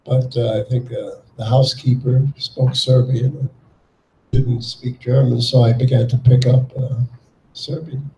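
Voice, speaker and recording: An older man giving a talk to an audience, speaking haltingly with many fillers. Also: medium pitch, little pitch movement, dark tone, slight background noise. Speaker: guest speaker, child refugee. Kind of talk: public talk with slides. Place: lecture hall before an audience. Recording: echoey hall.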